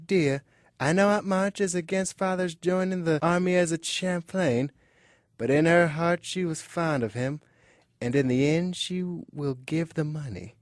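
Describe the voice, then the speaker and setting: Woman playing a calm, steadfast mother. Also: low voice, unhurried, no room sound, clean studio sound. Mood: gently coaxing. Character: calm, steadfast middle-aged mother in wartime. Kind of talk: amateur audio drama of a classic novel.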